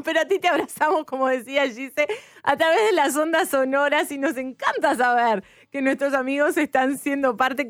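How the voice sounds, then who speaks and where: A woman's voice: sits high, no room sound, clean studio sound. A woman co-hosting a radio health show, bubbly and enthusiastic. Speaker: radio co-host.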